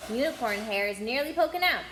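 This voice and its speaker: A woman reading aloud, voicing a blunt young boy wizard in a slightly lowered voice. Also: expressive, brisk, sharp tone, echoey room, slight background noise.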